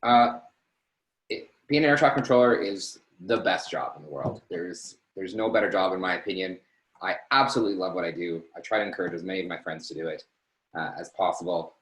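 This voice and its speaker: A man's voice: sits low, a little fast, a bit bright. A man talking casually as a panelist on a webinar. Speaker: air traffic controller, panelist. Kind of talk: casual unscripted panel answers. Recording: roomy echo on webinar call.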